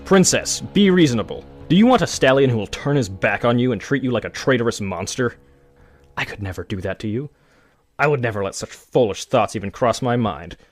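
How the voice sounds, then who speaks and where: Scheming royal guard stallion played by a man, animated and theatrical, feigned loyalty, insistent, music underneath. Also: mid-range voice, a little fast, close to an everyday voice. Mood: earnest, wheedling. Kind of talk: dramatic audio drama acting.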